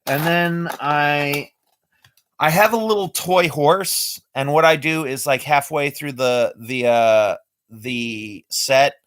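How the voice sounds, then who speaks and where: A man's voice: on the high side, takes it slowly, fairly bright tone, dry recording. A man chatting casually on a live stream, explaining his magic tricks step by step. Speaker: amateur magician.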